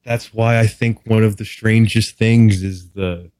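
A man's voice: lowish voice, fairly even intonation, a little slow, a little mellow, clean tone, dry recording, clean studio sound. A man from Texas chatting casually as a podcast guest, with a candid, wry, casually profane way of talking. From Texas, United States. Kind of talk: casual interview chat.

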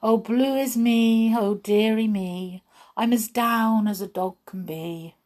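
A woman's voice: fairly high pitch, big pitch swings, extremely slow, voice pushed up, heavily acted. A woman voicing a plucky, forlorn male performing dog in a storybook, speaking in an earnest, theatrical way. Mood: mournful.

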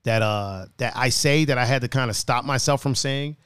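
A man hosting a casual podcast chat, talking in a playful, animated way full of slang and laughter. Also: mid-range voice, normal speed, smooth, dry recording, clean studio sound. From another country, raised partly on the East Coast. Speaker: podcast host.

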